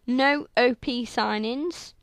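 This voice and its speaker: A young woman with a sore, croaky throat, chatting through video game commentary on a headset mic in a rambling way full of fillers. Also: on the high side, slow, no echo, studio quality. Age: young adult. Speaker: gaming channel host. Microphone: headset microphone.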